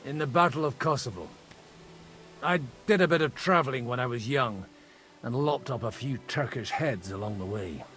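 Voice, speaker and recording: A man playing a worldly medieval parish priest in a video game, measured and dryly witty, with music behind. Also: medium pitch, a bit bright, close to an everyday voice. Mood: dry, calm. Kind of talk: video game cutscene acting.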